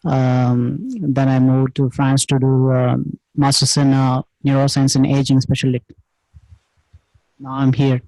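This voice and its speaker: A medical doctor from India introducing himself, hesitant with fillers. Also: male voice, mid-range voice, monotone, a little slow, pauses often, dark tone, no room sound, poor audio.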